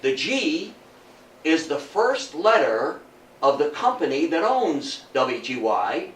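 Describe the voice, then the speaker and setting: An echoey, distant recording with faint noise carries a man in his seventies. His voice sits a little high for a man. He lectures on history like a storyteller, leaning on key phrases and posing questions to his listeners. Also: big pitch swings, takes it slowly, a bit bright. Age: 70s. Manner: emphatic, storytelling, rhetorical questions. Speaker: guest lecturer on radio history. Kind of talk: public history talk to a live audience. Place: indoor room at a public talk.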